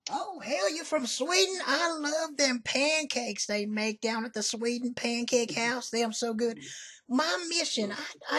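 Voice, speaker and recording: A man's voice: very high-pitched, fairly bright tone. A man doing a salty old Southern country woman. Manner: folksy, salty, drawling, calls people honey. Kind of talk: prank call.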